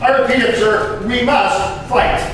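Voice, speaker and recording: An impassioned patriot statesman of the 1700s, played by a man, delivers grand, declamatory oratory in a high male voice. He takes his time, with weighty pauses. The sound is distant and very echoey, with clear noise throughout. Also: fairly even intonation, fairly bright tone. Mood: defiant, rousing. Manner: declamatory, emphatic, deliberate pauses. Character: impassioned 18th-century American patriot statesman orating. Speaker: living historian performer. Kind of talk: dramatic historical oratory reading. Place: historic courthouse room. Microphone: distant microphone.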